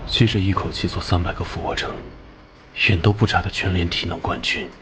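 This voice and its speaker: Animated crime drama: haunted ex-soldier captain played by a man, deep, blunt and earnest, over clear background noise. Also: a little fast, natural voice. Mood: grim, haunted. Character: hot-tempered, haunted male police squad captain, former soldier. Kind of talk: Chinese animation dubbing.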